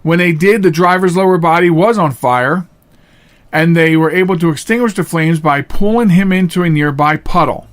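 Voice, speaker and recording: A man hosting a podcast in a casual, unscripted monologue, earnest and plain-spoken, halting at times and repeating phrases for emphasis. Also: fairly high pitch. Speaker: podcast host.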